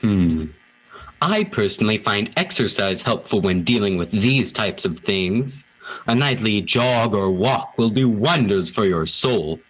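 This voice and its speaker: Guy acting a hearty old preacher, kindly, raspy and kinda low, amateur radio drama. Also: lively intonation, a little slow, fairly bright tone, low-quality recording. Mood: kindly, jovial. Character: kindly, hearty old small-town priest.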